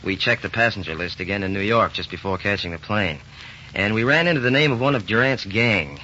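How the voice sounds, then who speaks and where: Brisk American federal agent, lowish forceful voice, matter-of-fact, old-time radio crime drama. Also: male voice, animated. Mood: businesslike. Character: businesslike FBI agent from New York. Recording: muffled old radio recording, faint noise.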